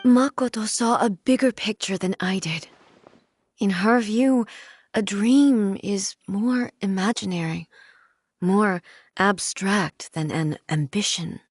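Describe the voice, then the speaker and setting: A woman voicing a dignified female ruler who speaks in a measured, formal and reflective way, with a husky voice. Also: medium pitch, no echo, studio quality.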